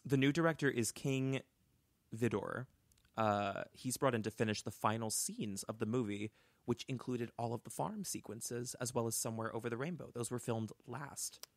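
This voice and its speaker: A young man co-hosting a podcast, telling a story in a chatty, animated way with lots of "like" and restarts, on a close studio mic. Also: mid-range voice, moderate pace, no echo. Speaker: podcast co-host. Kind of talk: casual storytelling chat.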